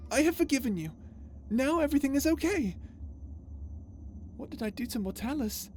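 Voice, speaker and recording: High-voiced, unhinged vengeful space villainess. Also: female voice, expressive, a little mellow, faint music behind. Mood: sweetly sinister. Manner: theatrical, halting, swinging between tender menace and anguish. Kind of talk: ASMR roleplay audio drama.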